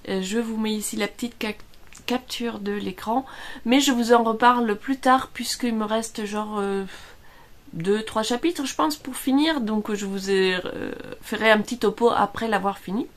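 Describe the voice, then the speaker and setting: A woman's voice: fairly high pitch, moderate pace. A middle-aged woman from Italy with an Italian accent, chatting casually in a home vlog, hesitant and full of fillers, tired after being ill. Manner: hesitant, many fillers and restarts. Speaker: vlogger. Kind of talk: casual chat.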